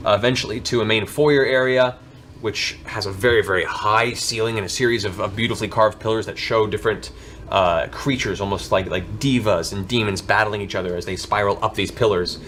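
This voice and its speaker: A man's voice: lowish voice, fairly even intonation, fairly quick, fairly bright tone. A man narrating a tabletop fantasy game as game master, improvising descriptive scenes. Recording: faint background noise and ambience.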